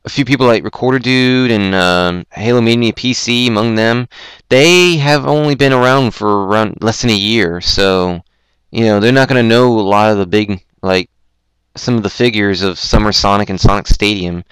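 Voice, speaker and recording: Young male host, low smooth voice, rambling, self-deprecating, a little hoarse, dry close mic. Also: moderate pace, a bit bright, no room sound, rough recording. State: throat going hoarse. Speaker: podcast host. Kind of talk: casual chat on a Skype call.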